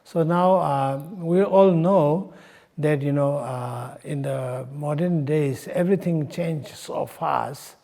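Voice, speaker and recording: A man, an English speaker giving an unscripted explanatory talk as a senior monk, pausing often to search for words. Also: a little high, creaky, warm tone. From Nepal. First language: not English. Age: 60s. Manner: halting, searching for words, frequent pauses. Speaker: Buddhist monk in charge of a monastery.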